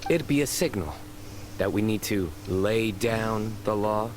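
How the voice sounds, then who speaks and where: An actor playing a stern, solemn young male law enforcer in a video game, delivering lines deadpan over noisy backing. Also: fairly low pitch, measured pace. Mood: deadpan joking.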